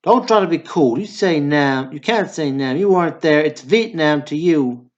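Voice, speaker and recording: A man's voice: fairly high pitch, lively intonation, moderate pace, highs cut off, lightly acted. A man playing a blunt, bickering small-town Southern brother in a radio drama. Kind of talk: stage comedy dialogue, radio drama acting.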